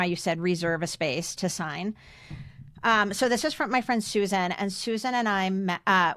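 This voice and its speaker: A woman's voice: lowish voice, fairly bright tone, no room sound, studio quality. A woman in her fifties with an American accent, telling stories and reading aloud casually as a podcast co-host.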